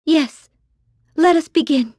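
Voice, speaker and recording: High-voiced, solemn young priestess. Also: female voice, a little mellow, a little hiss or hum. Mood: resolute. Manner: formal, measured, earnest. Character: solemn, devout young priestess, formal and earnest. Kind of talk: video game voice acting.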